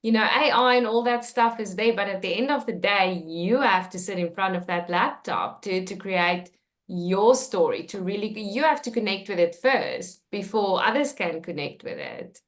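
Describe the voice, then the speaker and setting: A woman's voice: mid-range voice, animated. A woman whose first language is Afrikaans, a guest author chatting casually and laughing often. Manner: laughs often, slow and warm. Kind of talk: casual conversation.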